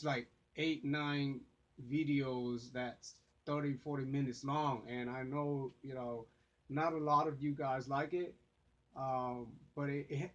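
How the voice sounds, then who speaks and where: A non-native English-speaking man whose first language is Vietnamese, talking casually to his phone camera. He rambles, hesitates and restarts often, and the lo-fi phone audio sounds dull and muffled. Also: mid-range voice. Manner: rambling, many fillers and restarts. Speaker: vlogger.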